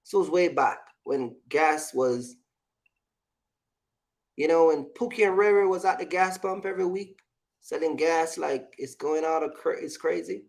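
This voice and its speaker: A man's voice: on the high side, a little slow, gravelly, darkish tone. A man talking casually as a vlog host, giving business advice and personal stories in an emphatic, repetitive way, often checking in with the listener.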